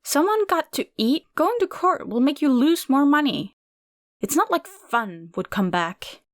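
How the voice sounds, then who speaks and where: A woman's voice: fairly high pitch, lively intonation, normal speed, no room sound, clean studio sound, lightly acted. A woman reading a storybook who voices a bitter, foul-mouthed aunt in her fifties, barking and cursing at a young visitor. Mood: angry scornful.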